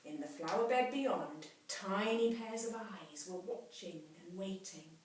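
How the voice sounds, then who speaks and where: A woman reading a children's story aloud like a teacher, dramatically, with hushed, drawn-out phrase endings. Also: mid-range voice, distant mic. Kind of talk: expressive children's storybook narration. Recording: very echoey room, off mic, faint hiss.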